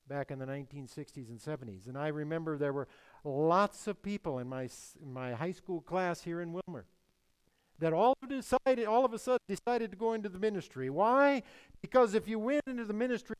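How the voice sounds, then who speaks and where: An older male preacher with a somewhat high voice delivers a sermon with emphasis, repeating his key phrases. His delivery swings between raised, forceful passages and quiet, low endings. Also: clear, resonant tone, rough recording. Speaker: pastor.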